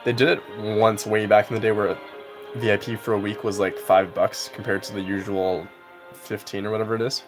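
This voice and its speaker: Young male streamer, lowish voice, easygoing and rambling, heavy on filler words. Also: flat delivery, a little fast, soft background music. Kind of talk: casual live-stream chat while gaming.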